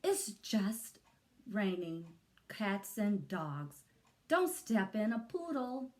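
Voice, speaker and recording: A silly, cheerful lady schoolteacher from a storybook, as a woman reader puts her on. She calls out to her class in a raised, high pitch with a singsong manner, taking her time over the words. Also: expressive, extremely slow, a little mellow. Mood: cheerful announcing.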